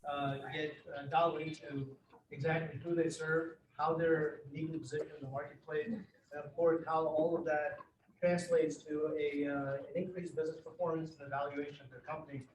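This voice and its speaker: A man introducing himself as a marketing firm founder, with polished, pitch-like phrasing. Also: little pitch movement, brisk, distant mic, lo-fi sound, slight background noise. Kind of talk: self-introduction at a business meeting. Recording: roomy meeting room from afar.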